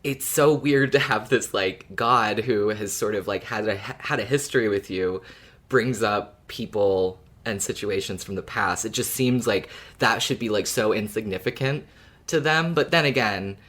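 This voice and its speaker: A woman's voice: rumbling low, moderate pace, fairly bright tone. A woman co-hosting a podcast, chatting casually and informally with plenty of slang about fiction. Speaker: podcast co-host.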